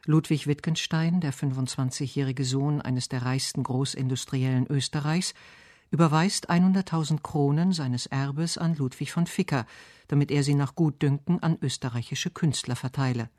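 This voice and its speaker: A man reading scripted narration and verse for a literary radio essay, measured and solemn. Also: medium pitch, a little mellow, no room sound, clean studio sound.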